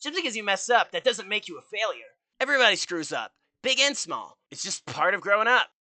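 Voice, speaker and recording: A man voicing a cocky, loyal, wisecracking teenage hedgehog hero with brash, joking delivery in an animated fan dub. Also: high voice, brisk, dry recording, full character voice. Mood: reassuring.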